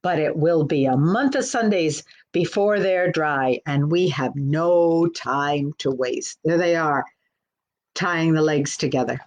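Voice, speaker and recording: A woman reading a picture book aloud as a children's librarian, pausing to point out the pictures. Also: sits low, darkish tone. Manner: unhurried, pauses to point out pictures. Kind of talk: picture book storytime narration.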